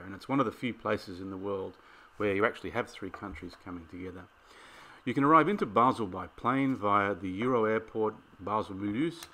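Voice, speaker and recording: Low-voiced Australian man. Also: a bit bright. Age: middle-aged. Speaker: travel vlog host. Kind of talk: casual presenting of travel tips.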